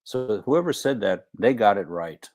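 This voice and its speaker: Emphatic man with a lowish voice. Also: animated, moderate pace, darkish tone. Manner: emphatic, declarative. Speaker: guest doctor. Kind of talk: explanatory live discussion.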